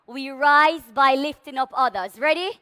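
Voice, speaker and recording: Bright, high Jamaican woman on stage. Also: moderate pace. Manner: big, passionate voice, leads audience call-and-response. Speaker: conference speaker. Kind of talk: motivational stage talk. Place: large conference hall stage.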